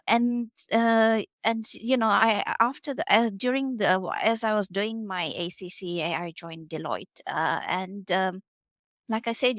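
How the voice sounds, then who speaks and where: A woman's voice: medium pitch, no echo. A woman in her forties from Kenya, a guest on a podcast interview, talking in a hesitant way with many fillers and restarts. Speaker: guest, R&D tax specialist.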